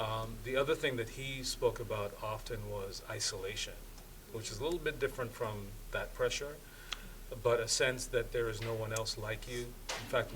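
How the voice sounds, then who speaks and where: A man's voice: fairly low pitch, fairly bright tone. A man speaking as a panelist, telling anecdotes in a conversational discussion. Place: live event panel. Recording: distant microphone, faint room noise.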